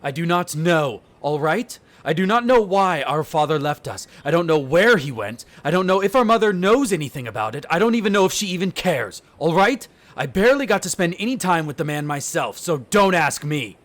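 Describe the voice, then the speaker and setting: A man playing a smug, bitter vampire noble, the eldest son, in an audio roleplay, speaking in a haughty, sardonic way with drawn-out theatrical phrasing. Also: on the high side, sing-song. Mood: angry outburst. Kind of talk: scripted audio roleplay acting addressed to the listener.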